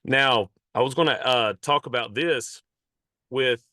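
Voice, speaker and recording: A man with a Southern accent hosting a country music podcast, friendly and quick with short affirmations. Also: mid-range voice, sharp tone, dry recording, clean studio sound. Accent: Southern American. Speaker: podcast host. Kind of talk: casual podcast interview.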